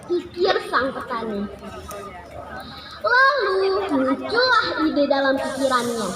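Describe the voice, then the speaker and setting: A woman's voice: sits high, very animated, slow. A woman reading a scripted children's fable aloud as a storyteller. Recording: echoey, noisy room with background crowd.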